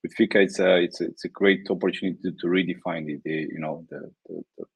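A man's voice: low-pitched, fairly even intonation, fast talker, breathy edge. A man from Romania introducing himself as a consultant, hesitating with many ums and restarts.

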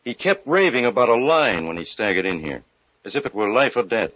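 A man's voice: medium pitch, big pitch swings, brisk, a bit bright, no echo. A man playing a blunt, wisecracking police inspector with slangy, hard-boiled delivery in 1940s radio mystery style. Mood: incredulous. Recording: muffled old-time radio recording.

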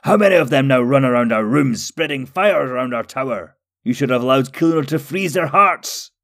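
A man voicing an exasperated wizard, yelling and scolding. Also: medium pitch, lively intonation, moderate pace, pitched above the performer's own voice. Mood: exasperated, angry. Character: exasperated male wizard scolding his fellow.

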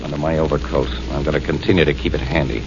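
An actor playing a tough, wisecracking male newspaper photographer in a 1940s radio drama, with a gruff, clipped delivery. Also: rumbling low, steady tone, fast, background noise. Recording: muffled old radio transcription with music.

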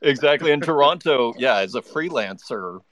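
A man's voice: medium pitch, animated, normal speed, fairly bright tone, no room sound, lo-fi sound. Chatty enthusiastic male podcast host. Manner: chatty, enthusiastic, rambling with restarts. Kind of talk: live audio-chat interview.

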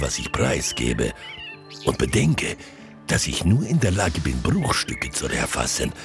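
A courteous southern fortune-teller and pipe seller in an audio drama. His very low voice swings up and down as he speaks slowly and ceremoniously, with ornate turns of phrase. Music plays clearly behind him. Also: full character voice. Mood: solemn.

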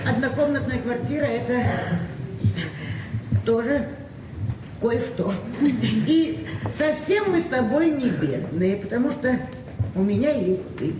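An actress playing a sentimental, bossy middle-aged best friend in a Soviet radio play, toasting in short emphatic phrases. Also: female voice, a little high, lively intonation, unhurried, pauses often. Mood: warm, teasing. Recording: roomy, distant, noisy 1970s radio recording.

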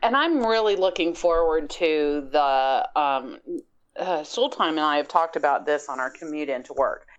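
A woman's voice: low-pitched, expressive, moderate pace, highs cut off. A woman co-hosting a podcast, chatting casually about games and rambling as she searches for words. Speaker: podcast co-host. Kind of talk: casual gaming discussion.

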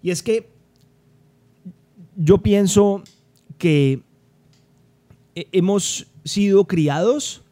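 Emphatic podcast host from Cali. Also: male voice, high voice, very slow, pauses often, dark tone. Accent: Colombian, from Cali. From Colombia. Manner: emphatic, conversational, repeats words while thinking. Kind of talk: solo podcast monologue.

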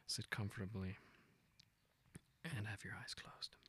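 A man leading a guided meditation, speaking gently and informally in an unhurried, intimate way. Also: fairly low pitch, fairly even intonation, slightly rough, in a whisper. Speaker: meditation guide, podcast host.

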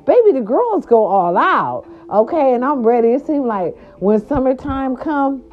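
A grandmother from California talking casually to her viewers, animated and chatty, with salty slang and lots of "right, right" fillers. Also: high-pitched, measured pace. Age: older. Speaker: vlogger. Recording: dull lo-fi sound.

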